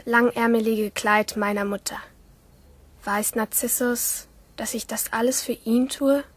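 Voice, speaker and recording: A woman's voice: on the high side, a bit bright, breathy edge, no echo. A woman playing a rebellious, lonely teenage girl who narrates her thoughts intimately in a German radio play. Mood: hesitant, nervous.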